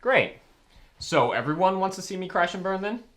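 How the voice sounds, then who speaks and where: A man's voice: sits high, sing-song, moderate pace, fairly bright tone. A young man acting an anxious aspiring writer in a comedy-drama web series, defensive and dryly sarcastic. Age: young adult. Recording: roomy sound with faint background noise.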